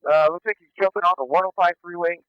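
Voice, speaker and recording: A man working as a police officer, calling out a pursuit over police radio in terse, halting live commentary. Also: high voice, sharp tone, clear, resonant tone, no echo. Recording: muffled police scanner radio.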